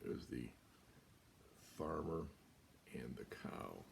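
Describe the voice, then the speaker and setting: An older American man reading a children's picture book aloud, deliberate and expressive, with pauses. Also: a bass voice, vocal fry, slight background noise. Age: older, about 70s. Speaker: guest storyteller. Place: small room at home, indoors.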